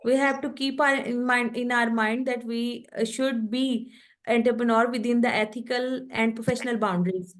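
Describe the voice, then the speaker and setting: A woman whose first language is Urdu answers questions after her online lecture, pausing often with fillers. Her voice sits a little high. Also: fairly even intonation. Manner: hesitant, many fillers. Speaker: guest lecturer. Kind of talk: online webinar Q&A.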